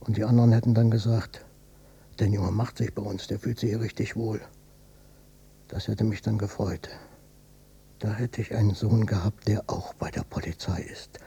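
A man's voice: on the low side, steady tone, normal speed, vocal fry, dark tone. A male actor playing a bitter, stubborn old father, a former policeman, brooding and obstinate, in a German radio drama. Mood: bitter, wistful. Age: older. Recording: noisy cassette copy of radio play.

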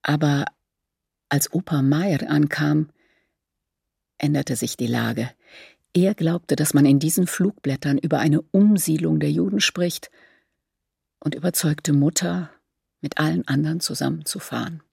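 A woman's voice: sits low, measured pace, creaky, pauses often, mellow, slightly rough, no echo, clean studio sound, heavily acted. An actress playing a female survivor soberly recalling her family in a documentary radio drama. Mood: sober, reflective.

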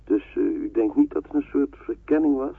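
A man's voice: mid-range voice, lively intonation, normal speed, creaky, husky, no echo. A man acting a cautious, respectful village lookout in a Dutch wartime radio drama. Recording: muffled, dry, band-limited old radio recording.

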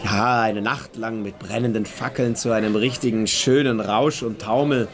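An actor playing a calm, philosophical and superior young friend in a literary radio play. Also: male voice, fairly low pitch, moderate pace, natural voice. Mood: questioning, superior.

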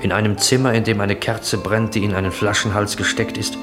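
An actor as the narrator of a German radio drama from the 1960s, delivering literary scene descriptions in a measured way. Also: male voice, fairly low pitch, level tone, over music, natural voice. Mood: measured, descriptive. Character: narrator describing a grotesque night town.

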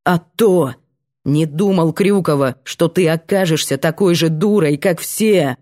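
A woman's voice: low voice, unhurried, warm tone. A woman voicing a stubborn, jealous young male student with a pushy, sarcastic manner in an audiobook. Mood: bitter.

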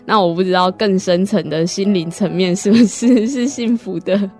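A young woman answers casually in Taiwanese Mandarin. Her pitch sits in the middle, and her manner is thoughtful and unpretentious. Soft music plays faintly beneath her. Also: moderate pace. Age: young adult. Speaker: student guest.

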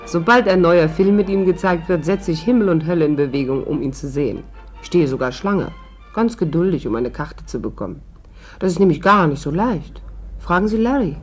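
An actress playing a chatty, enthusiastic lady who confides in the listener as she narrates a 1950s radio play. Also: sits low.

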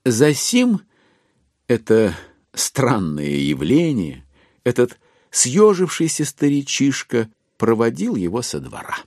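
An actor narrating a classic satirical novel in an audio play, as a literary storyteller. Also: male voice, medium pitch, wildly swooping pitch, slow, a little mellow, dry recording, studio quality, full character voice. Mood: wry, descriptive.

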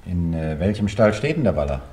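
An actor playing a polite, businesslike visiting horse doctor in a radio drama, in a courteous, brisk manner. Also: male voice, deep voice, very animated. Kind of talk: naturalistic German radio drama acting. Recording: roomy sound with loud noise, clicks.

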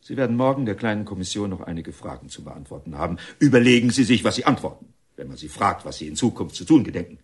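An actor playing a stern, self-important middle-aged reformatory director in a German radio drama, speaking formally and deliberately with a husky voice. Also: male voice, lowish voice, very animated, full character voice. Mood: firm, official.

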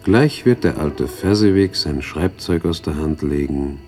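A man voicing a grave, knowing spirit guide with a measured, solemn delivery in a 1960s German radio drama. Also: very deep voice, a little mellow, soft background music. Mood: solemn, guiding.

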